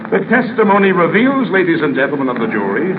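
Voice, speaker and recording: Male, fervent defense attorney, fairly high voice, theatrical jury oratory, noisy vintage radio sound. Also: very animated, fast talker. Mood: impassioned, earnest. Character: impassioned male defense counsel addressing a jury. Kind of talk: 1940s radio acting, courtroom oratory. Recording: muffled, noisy old radio recording.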